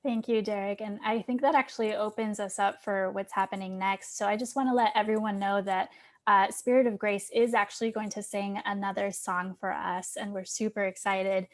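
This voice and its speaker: Woman moderating, with a medium-pitched voice. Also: fairly even intonation, moderate pace. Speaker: event moderator. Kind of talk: informal hosting.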